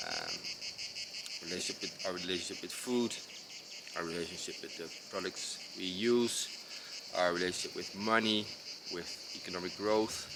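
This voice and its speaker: A man with a Dutch accent, speaking slowly and unevenly in a deep, low voice. He explains his ideas casually, pausing often with fillers and starting sentences over. Crickets and insects chirp clearly behind him outdoors. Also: very noisy. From Netherlands. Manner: hesitant, with many fillers and restarts. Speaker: designer explaining his approach. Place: outdoors in rural mountains.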